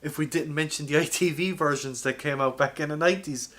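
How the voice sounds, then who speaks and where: A man's voice: on the high side, a little fast, fairly bright tone. A man co-hosting a podcast, chatting casually about films and retelling scenes with plenty of swearing. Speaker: podcast co-host. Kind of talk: casual film chat among friends.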